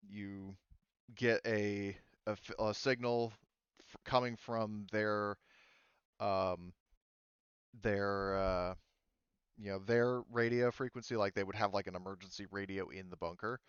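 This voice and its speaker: Low male voice, smooth, game master, halting improvised narration, slightly muffled audio. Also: a bit bright, no room sound. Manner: halting, hesitant, casual delivery.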